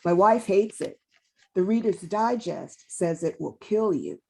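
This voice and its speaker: Creaky, unhurried woman reading a middle-aged busboy. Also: mid-range voice, lively intonation, dark tone, heavily acted. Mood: reserved, polite. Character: reserved middle-aged male busboy, polite, plainspoken. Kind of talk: amateur table read of TV drama.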